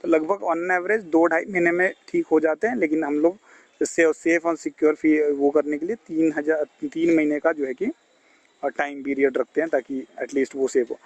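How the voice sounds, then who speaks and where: Male health advisor, Indian accent, slightly higher-pitched, reassuring and conversational, on a recorded phone call. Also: fairly even intonation, normal speed, no echo. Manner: reassuring, code-mixing English terms into advice. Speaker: health advisor giving IBS guidance. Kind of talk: casual phone consultation, advising.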